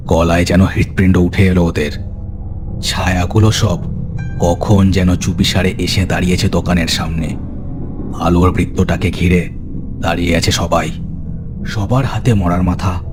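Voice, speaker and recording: A man giving a dramatic, scripted narration of a horror story. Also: deep voice. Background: music.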